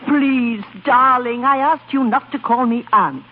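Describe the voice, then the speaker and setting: An actress playing a vain, worldly older marchesa in 1930s radio drama style, arch, grand and coquettish. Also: female voice, a little high, unhurried, narrow, tinny audio, lightly acted. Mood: arch, teasing. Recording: thin, noisy 1930s radio recording.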